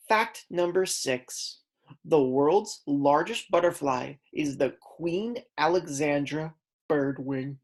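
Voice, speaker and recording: Female kindergarten teacher, low register, unhurried delivery. Instructive read-aloud for small children, simple explanations and prompts. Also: darkish tone.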